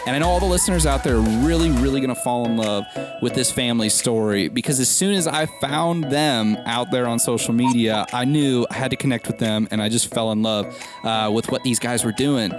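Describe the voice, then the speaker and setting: A man's voice: mid-range voice, fairly quick, over music. A young man from Nebraska hosting a podcast, chatting casually with upbeat, rambling enthusiasm. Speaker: podcast host.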